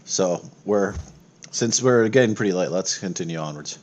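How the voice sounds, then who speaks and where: A man's voice: deep voice, animated, normal speed, a little mellow, slight background noise. A man acting as game master, narrating a tabletop roleplaying game.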